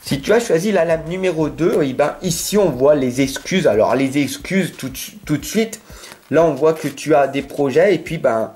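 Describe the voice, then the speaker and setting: A man's voice: fairly high pitch, lively intonation, normal speed, darkish tone, a little hiss or hum. A French-accented man giving a tarot card reading straight to the viewer, earnest and insistent, often checking in with "d'accord?". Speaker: tarot reader.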